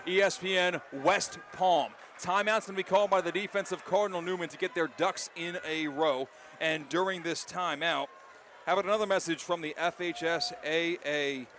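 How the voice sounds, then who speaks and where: A man calling live high school football as a radio play-by-play announcer, projecting with emphatic, excitable delivery. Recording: stadium crowd noise behind broadcast commentary. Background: loud outdoor stadium crowd and ambience.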